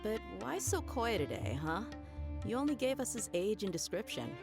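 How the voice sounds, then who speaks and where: A woman's voice: mid-range voice, very animated, moderate pace. A woman voicing an easygoing, teasing adult woman in a video game, laughing easily. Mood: relaxed. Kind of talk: game voice acting. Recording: studio voice over game music.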